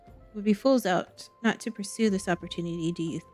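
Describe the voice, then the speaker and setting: A woman's voice: medium pitch, a little mellow, faint music behind, lightly acted. A woman voicing a formal, self-assured diplomat from a fantasy game, reading the dialogue aloud and sometimes stumbling on words. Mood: self-assured, businesslike. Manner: formal wording, halting over words.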